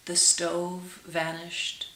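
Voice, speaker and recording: A woman reading a storybook aloud for children as a storyteller, with dramatic, drawn-out emphasis. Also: lowish voice, completely flat delivery, bright, forceful tone. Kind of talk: expressive storybook read-aloud for children, with brief asides. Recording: roomy echo with clear hiss.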